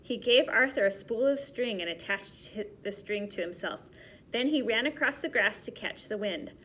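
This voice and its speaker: A woman reading a children's story aloud expressively, pausing to explain things simply to young listeners. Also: fairly high pitch, animated, sharp tone, a little hiss or hum.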